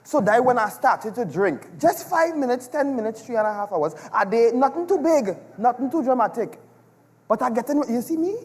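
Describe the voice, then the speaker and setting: A man's voice: extremely high pitch, big pitch swings, normal speed, a little mellow. Man acting a blustering Trinidadian husband, echoey hall. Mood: grumbling, sly. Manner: exasperated, exclamatory, dramatic. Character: selfish, rum-loving husband and father, blustering and evasive. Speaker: stage actor. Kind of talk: theatrical dialect stage comedy. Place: large performance hall, on stage. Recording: echoey hall with long reverb.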